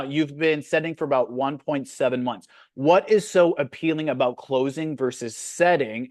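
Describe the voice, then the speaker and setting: A man's voice: mid-range voice, no echo, studio quality. Blunt Canadian man coaching on a call. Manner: blunt, frank, with many fillers. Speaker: sales coach hosting a Q&A.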